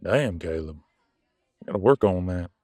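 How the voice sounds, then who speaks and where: Man acting a cocky, low-voiced werewolf vet. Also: lively intonation, moderate pace, darkish tone, no echo, clean studio sound. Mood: frank. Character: cocky, casual male werewolf veterinarian, gruff but soft-hearted. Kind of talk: audio roleplay drama dialogue.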